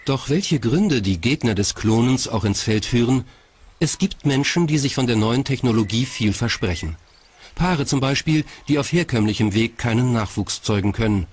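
A man's voice: medium pitch, animated, normal speed. A man reading scripted documentary narration. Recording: clear background noise.

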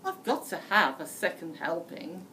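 A woman's voice: on the low side, sing-song, a little slow, sharp tone, full character voice. A woman voicing a hungry, sly, menacing male wolf in a storybook, theatrical and leering. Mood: greedy. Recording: distant mic with faint room noise.

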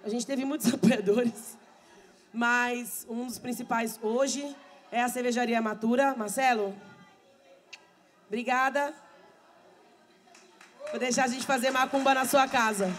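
A middle-aged woman singer, hoarse from many shows, chatting fast and laughing with a live audience through a handheld mic. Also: on the high side, fairly even intonation, a faint crowd. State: hoarse, losing her voice. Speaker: samba singer and show host. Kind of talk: chatty stage talk between songs at a live show. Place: bar stage with a live audience, indoors. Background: live samba band.

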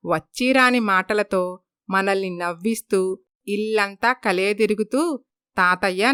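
A woman plays a grumbling older mother-in-law in a family drama story. She is bitter and sarcastic, with a bright, clear voice full of complaint. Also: mid-range voice, no echo, clean studio sound, close to an everyday voice.

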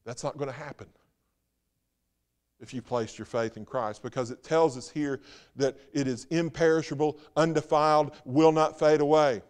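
A man preaching a Sunday sermon as pastor, firing rhetorical questions, then dropping to a hushed, slow voice for emphasis. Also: on the high side, steady tone, smooth. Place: church sanctuary.

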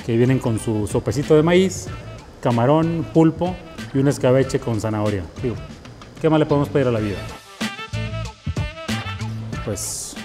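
A man's voice: medium pitch, moderate pace, long pauses. Mexican man hosting over background music. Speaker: chef and show host. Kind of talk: presenting a culinary travel show. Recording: music under the voice.

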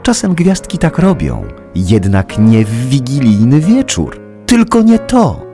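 A man narrating a children's story in a dramatic, measured storytelling manner, with music under the voice. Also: medium pitch, huge pitch swings, warm tone.

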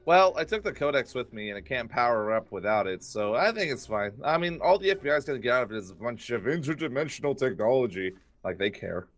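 A man's voice: mid-range voice, very animated, quick pace, hardly any pauses, faint music behind, lightly acted. A man playing an earnest, protective, nerdy young inventor in a gaming roleplay. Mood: explanatory. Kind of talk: improvised gaming roleplay acting.

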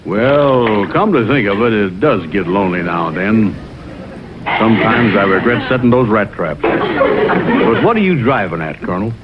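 An actor voicing a gullible, good-natured, lonely bear in an old TV cartoon, speaking in a plain, folksy way. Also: male voice, lowish voice, expressive, moderate pace, lightly acted. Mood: hesitant, plain. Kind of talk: 1960s TV cartoon acting. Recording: dull, noisy old television soundtrack.

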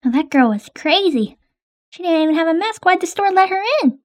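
A woman's voice: very high voice, expressive, fairly quick. A young woman hosting and narrating a game roleplay in a casual, hesitant way with many ums.

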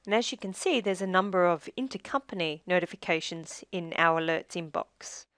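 A woman with a slightly low voice narrates a software demonstration in a measured, instructional way. Her phrases tend to trail down at the ends. The recording is dry and close. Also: no room sound. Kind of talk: scripted tutorial narration.

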